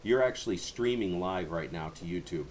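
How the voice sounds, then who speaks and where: A man's voice: low voice, moderate pace. A man chatting casually over amateur radio as a livestream host, in a folksy, rambling way. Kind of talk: casual ham radio chat. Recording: noisy amateur radio channel, background hiss.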